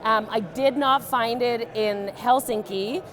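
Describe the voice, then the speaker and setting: A young woman tying a fishing fly in a joking, deadpan demonstration, with many ums and uhs. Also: a little high, takes it slowly. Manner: deadpan, playful, many fillers. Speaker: guest fly tier.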